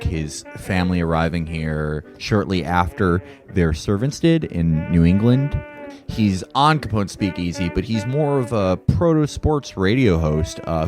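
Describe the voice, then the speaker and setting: A man's voice: low voice, darkish tone. A man playing a comedy tabletop game, introducing his character in a mock-boastful way. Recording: clear music bed under the voice.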